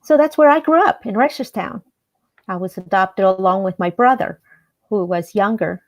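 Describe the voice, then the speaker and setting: A woman from El Salvador, a guest on a radio interview, telling her life story in an earnest, measured way with some hesitations. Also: medium pitch.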